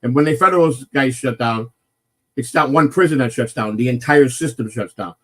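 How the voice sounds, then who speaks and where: A man with a naturally loud voice, hosting a casual morning live stream and rambling through a personal story with lots of swearing and restarts. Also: medium pitch, brisk. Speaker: morning show host telling a personal story.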